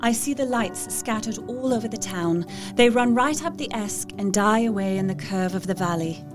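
A woman's voice: mid-range voice, animated, normal speed, over music, close to an everyday voice. A woman playing an anxious, wistful young Victorian lady in an audio drama, reading her journal in a proper, earnest way. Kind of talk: dramatic first-person journal narration.

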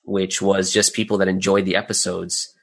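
A man's voice: deep voice, level tone, moderate pace, gravelly, fairly bright tone. A man answering interview questions casually as a business owner, thinking aloud and repeating phrases as he explains. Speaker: interview guest, audio drama creator and business owner.

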